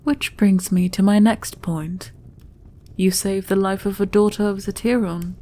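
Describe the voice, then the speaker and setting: A woman playing a shrewd, regal, mature queen in a fantasy audio roleplay, speaking in a stately, deliberate, formal manner. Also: medium pitch, fairly even intonation, mellow, close to an everyday voice. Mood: stately, gracious. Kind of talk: audio roleplay fantasy acting, addressing listener.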